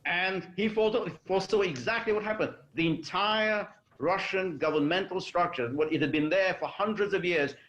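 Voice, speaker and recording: A man giving measured, explanatory commentary as a guest in a political panel discussion. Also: a little high, fairly bright tone. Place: remote call for a quarantine show. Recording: dull lo-fi connection.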